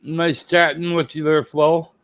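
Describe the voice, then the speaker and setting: A man's voice: a little high, little pitch movement, unhurried, fairly bright tone, dry recording. A man in his fifties chatting as a guest on a live stream, friendly and plainspoken. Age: 50s. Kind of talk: casual chat. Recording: dull lo-fi audio with faint noise.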